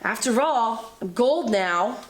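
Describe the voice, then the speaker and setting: Storybook male leaf, excitable and bouncy, squeaky-high; woman reader puts him on. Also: slow, reverberant. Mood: pleading. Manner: excited, exclaiming. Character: chatty gold-turning male maple leaf.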